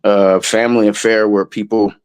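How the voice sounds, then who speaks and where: Man, deep-ish voice, rambling, casual interview talk. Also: level tone, moderate pace, clear, resonant tone. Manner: rambling, many restarts and fillers, swears casually. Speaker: guest musician.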